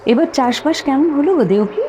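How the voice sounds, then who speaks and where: A woman's voice: sits high, expressive, fairly quick, warm tone, a little hiss or hum, pitched above the performer's own voice. A woman voicing a kindly, curious doctor who chats familiarly with employees in a story. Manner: inquiring, familiar.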